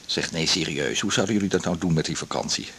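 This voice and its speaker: A self-pitying, affectionate man in a radio drama, faintly noisy. His voice is very low with a gravelly fry. He talks hesitantly and wryly, fretting as he confides. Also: fast, fairly bright tone. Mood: anxious. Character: worried, self-pitying, affectionate male patient and colleague. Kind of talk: naturalistic radio drama dialogue. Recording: slightly noisy old radio recording.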